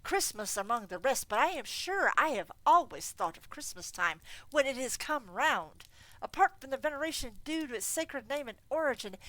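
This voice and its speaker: Woman playing a bright, cheerful young man. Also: very high voice, no room sound, pitched above the performer's own voice, full character voice, poor audio. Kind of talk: storybook reading.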